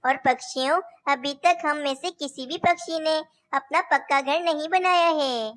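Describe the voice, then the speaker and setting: A woman's voice: high-pitched, measured pace, fairly bright tone. A woman voicing young female cartoon birds, caring and a little bossy, in a childlike, declamatory way. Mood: earnest. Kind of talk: Hindi animated children's story dialogue.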